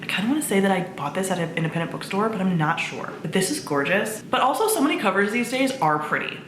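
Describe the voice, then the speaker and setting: A woman's voice: mid-range voice, animated, fast talker, a bit bright. A woman chatting casually as a video host, gushing and informal in her enthusiasm. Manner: gushing, informal, mild swearing. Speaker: book reviewer, video host. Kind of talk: casual chat to camera. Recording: echoey room, faint background noise.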